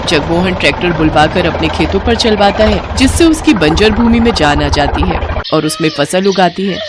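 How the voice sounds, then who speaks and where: A woman's voice: on the low side, a little hiss or hum. A woman narrating a scripted story as a storyteller.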